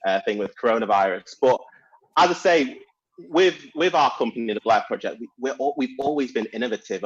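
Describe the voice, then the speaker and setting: Eager man on a dull-sounding video call. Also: mid-range voice. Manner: enthusiastic, with frequent fillers and restarts. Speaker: STEM education company founder. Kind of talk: webinar panel introduction.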